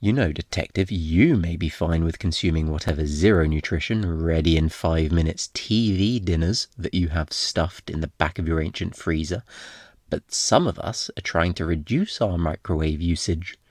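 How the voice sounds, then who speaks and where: Man playing a cocky flirtatious thief, low-voiced. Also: normal speed, darkish tone, no echo, clean studio sound. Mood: sarcastic, cheeky. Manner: teasing, theatrical, sarcastic, swooping into mock quotes. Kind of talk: ASMR roleplay acting.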